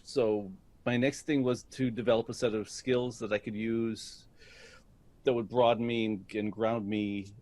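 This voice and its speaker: A middle-aged American man telling stories about his life in a reflective interview, speaking in a deliberate, halting way. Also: medium pitch, flat delivery, a little mellow. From United States, Detroit area. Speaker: guest, stage actor.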